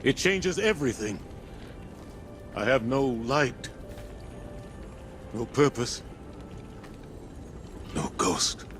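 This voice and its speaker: An actor playing a grieving, broken commander in a video game, speaking gravely and with anguish. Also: male voice, medium pitch, very animated, very slow, long pauses, fairly bright tone, exaggerated voice. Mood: anguished grief. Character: grieving, broken older male commander, solemn warrior. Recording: dramatic music behind the voice.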